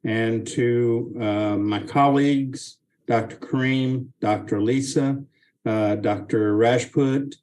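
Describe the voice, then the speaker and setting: A man speaks very slowly at a medium pitch, offering formal thanks. He is measured and gracious, pausing and hesitating as he puts his unscripted words together. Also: steady tone, darkish tone. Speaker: speaker giving closing thanks.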